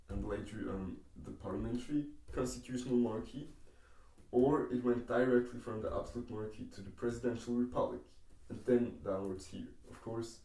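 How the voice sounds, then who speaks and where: A young man from Switzerland with a Swiss accent, English not his first language, slowly explaining politics over diagrams with many pauses and ums. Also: medium pitch, dark tone, recorded from a distance. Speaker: educational YouTuber. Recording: echoey room, voice away from the mic.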